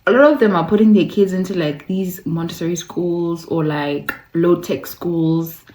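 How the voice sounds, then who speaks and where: A woman with a slightly low voice presents a casual video essay as its host. She talks conversationally, drops in playful asides and repeats words for emphasis. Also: steady tone, normal speed, a little mellow, poor audio. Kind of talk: casual video essay with passages read aloud.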